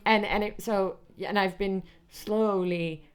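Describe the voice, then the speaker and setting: A Dutch comedian with an American-tinged accent, talking in a relaxed, rambling way and trailing off softly at the ends of thoughts. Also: female voice, medium pitch, a little slow, smooth. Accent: American-tinged English. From Netherlands. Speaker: guest comedian. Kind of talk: casual podcast chat.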